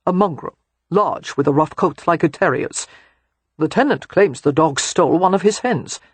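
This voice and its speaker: A woman's voice: deep voice, moderate pace, darkish tone, husky, dry recording. A woman reading an audiobook, voicing a father who calls out a firm warning.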